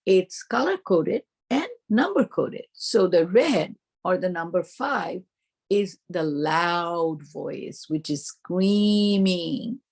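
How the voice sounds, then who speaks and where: Woman, teacher trainer, Indian accent. Explanatory delivery with a gravelly edge, often asking and answering her own questions. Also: on the low side, lively intonation, takes it slowly, darkish tone. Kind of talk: instructional presentation to teachers.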